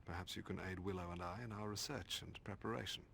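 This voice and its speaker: An actor playing a bookish, courteous mentor in a video game, formal and practical. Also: male voice, sits low, fairly even intonation, fast talker, vocal fry, sharp tone, a little hiss or hum, heavily acted. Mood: helpful. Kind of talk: video game drama acting.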